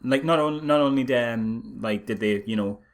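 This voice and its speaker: A man's voice: medium pitch, moderate pace. A man co-hosting a casual film review chat, speaking in a sarcastic, incredulous way with frequent asides. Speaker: podcast co-host.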